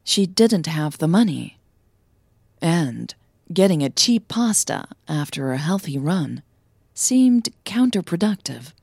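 A woman narrating a scripted audio story as a podcast host, with measured, deliberate phrasing. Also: on the low side, very animated, vocal fry, a little mellow, husky, dry recording, studio quality.

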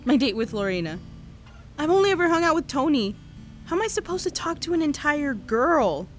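A woman playing an anxious, chatty American high school girl who rambles nervously into an audio diary in a podcast drama. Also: sing-song, fairly quick, soft background music. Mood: excited, wigging out. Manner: nervous, rambling, self-deprecating.

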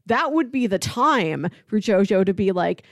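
A woman's voice: medium pitch, animated, unhurried, dry recording. A woman co-hosting a podcast in casual, chatty conversation, with emphatic run-on asides. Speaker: podcast co-host. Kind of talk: casual TV recap discussion.

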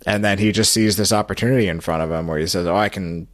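A man hosting a podcast, recapping a story in a casual, halting, explanatory way. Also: sits low, no echo, studio quality. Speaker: podcast host.

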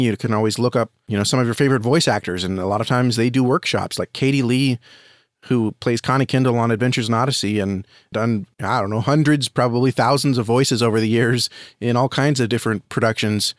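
A man's voice: medium pitch, no room sound, clean studio sound. A man speaking as an interview guest, giving earnest, explanatory advice in a conversational way. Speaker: podcast guest, audio drama creator and reviewer.

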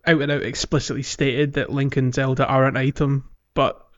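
A man hosts a relaxed gaming podcast in a medium-pitched voice. He explains things hesitantly, letting thoughts trail off. The recording is a little dull and lo-fi. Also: normal speed. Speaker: podcast host. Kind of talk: casual gaming podcast chat.

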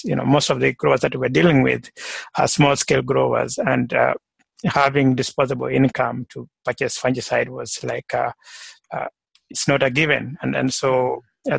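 A middle-aged man from Kenya, guest on a podcast, works through his career story in a halting way, full of fillers and restarts. His voice is strongly gravelly and husky, with a flat, level pitch. The sound is dry and close. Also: no room sound. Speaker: guest, plant pathologist. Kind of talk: conversational interview.

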